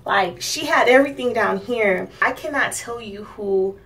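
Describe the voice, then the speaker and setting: A young woman from Miami talking casually to her channel's viewers, rambling with fillers and soft laughs. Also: on the high side, a little slow, far from the mic, poor audio. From Miami, United States. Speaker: vlogger. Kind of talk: casual talk to camera.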